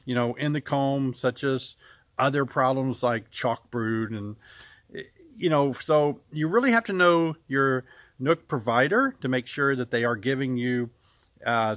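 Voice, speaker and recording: A middle-aged American man from Illinois giving a casual beekeeping lesson outdoors to a close camera, relaxed and explanatory with frequent pauses. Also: medium pitch, dry recording. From United States. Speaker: beekeeping teacher. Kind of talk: casual instructional talk to camera. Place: outdoors beside a farm building. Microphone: camera at close range.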